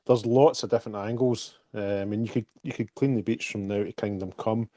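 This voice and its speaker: A man's voice: fairly low pitch, fairly even intonation, fairly quick, warm tone, no room sound. A man with a Scottish accent answering questions on a casual group call, speaking frankly and repeating words as he thinks. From Scotland. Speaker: project co-founder answering questions.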